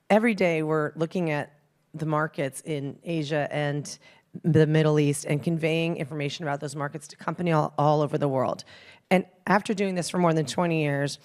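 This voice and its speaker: A woman moderating a conference panel as a market analyst, asking measured questions with a few hesitant restarts. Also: sits low, a little mellow. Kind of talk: panel discussion.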